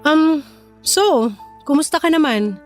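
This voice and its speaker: Filipino radio drama: young woman, guarded with an old flame, high expressive voice, music underneath. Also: takes it slowly. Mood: casual, teasing.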